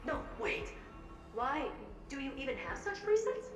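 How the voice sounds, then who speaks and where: Living mirror, very animated, theatrical, deferential, game character, distant sound, music behind. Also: female voice, sits high, unhurried, roomy sound, heavily acted. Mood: worried. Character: polite, expressive living mirror assistant, easily flustered. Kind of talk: video game voice acting.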